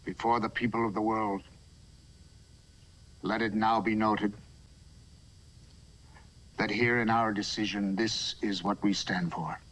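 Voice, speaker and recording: A man playing a grave, principled judge in a dramatic film speech, measured and oratorical. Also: fairly low pitch, steady tone, a bit bright, a little hiss or hum, low-quality recording. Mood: solemn. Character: grave, principled judge delivering a solemn verdict.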